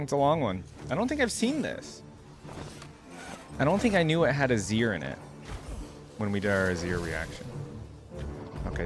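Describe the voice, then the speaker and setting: A man giving casual reaction commentary as host, talking in an informal, slangy way and often exclaiming or musing aloud. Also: on the low side.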